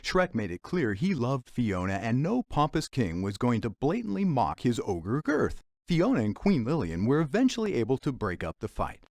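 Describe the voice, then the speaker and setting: An actor playing a wry talking magic mirror that narrates a game's fairy tale with sardonic asides. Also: male voice, mid-range voice, huge pitch swings, moderate pace, hardly any pauses, darkish tone, no room sound, clean studio sound. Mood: wry, gossipy. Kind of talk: playful storybook narration.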